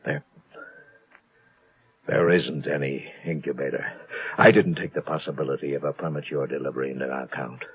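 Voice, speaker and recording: An actor playing a nervous, well-meaning military doctor who gets flustered, in 1950s radio drama style, with a husky voice. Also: male voice, extremely low pitch, steady tone, moderate pace, pauses often, dry recording, exaggerated voice. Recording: 1950s radio, narrow tinny band.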